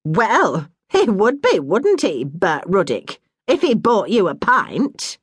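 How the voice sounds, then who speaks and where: A woman voicing a middle-aged mother, bossy but warm-hearted, in a brisk, scolding dialect. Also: mid-range voice, sing-song, breathy edge, no room sound, pitched above the performer's own voice, heavily acted.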